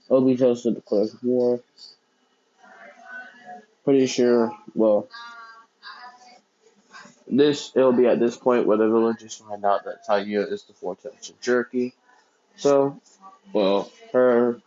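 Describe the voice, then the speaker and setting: Deep-voiced young man rambling a fan story. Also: dark tone, lo-fi sound. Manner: rambling, self-correcting, many fillers. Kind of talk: casual unscripted storytelling.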